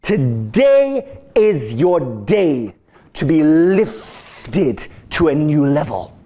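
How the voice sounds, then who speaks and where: A man's voice: fairly high pitch, sing-song, extremely slow. A man leading a fervent prayer, declaring release from limitation with repeated, commanding phrases. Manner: repetitive commanding declarations, rising to shouts. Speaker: preacher. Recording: dull lo-fi sound.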